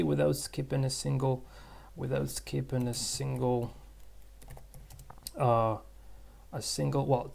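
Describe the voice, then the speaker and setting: A man whose first language is Russian, teaching English by thinking aloud in a halting way, with many fillers and restarts. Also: medium pitch, gravelly, darkish tone. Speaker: English tutor. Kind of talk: screen-recorded language lesson.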